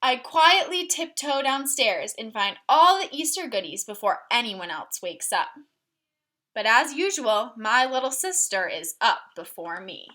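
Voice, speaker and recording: A woman's voice: on the high side, very animated, a little slow, sharp tone. A woman reading a picture book aloud to children, adding simple explanations and questions for the listeners like a teacher. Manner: instructive, asking listeners questions. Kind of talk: storybook read-aloud with explanatory asides.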